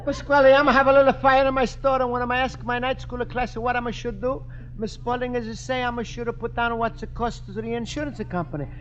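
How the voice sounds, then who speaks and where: An actor playing a naive, earnest Italian immigrant shopkeeper who speaks broken English with an Italian accent in a 1950s radio sitcom. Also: male voice, very high voice, flat delivery, quick pace. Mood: worried. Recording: muffled, noisy old radio recording.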